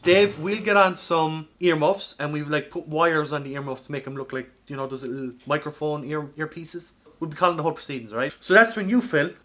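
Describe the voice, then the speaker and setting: A man's voice: fairly high pitch, a little fast, hardly any pauses, a bit bright. A man hosting a podcast, casually and insistently explaining a comedic scheme, with some swearing. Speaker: podcast host.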